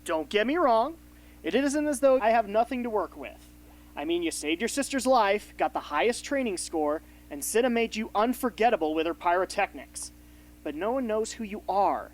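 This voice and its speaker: A woman's voice: medium pitch, lively intonation, normal speed, lightly acted, rough recording. A woman playing a blunt, sarcastic, exasperated mentor in a radio drama, scolding as she talks. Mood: critical, exasperated.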